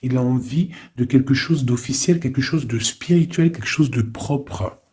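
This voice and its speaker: Mellow-voiced man reading tarot cards. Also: medium pitch, breathy edge. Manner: informal, halting, thinking aloud with frequent tag questions. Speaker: tarot card reader. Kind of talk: casual improvised tarot reading.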